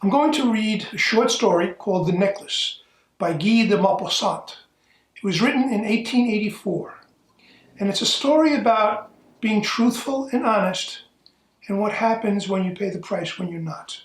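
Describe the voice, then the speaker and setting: A man's voice: high voice, normal speed. Echoey, distant man reading aloud. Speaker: volunteer story reader. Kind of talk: reading a classic short story aloud. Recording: echoey room, distant from the microphone.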